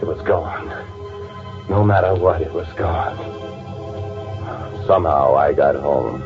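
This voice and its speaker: A man acting as a panicky, guilty narrator in old-time radio drama, nervous and halting under pressure. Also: extremely low pitch, heavily acted. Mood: frantic. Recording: phone-like old radio recording with loud music.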